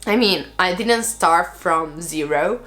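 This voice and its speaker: A woman's voice: lowish voice, takes it slowly, fairly bright tone. A young woman from Italy with an Italian accent, talking casually to camera in earnest, loosely phrased English full of fillers. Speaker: vlogger.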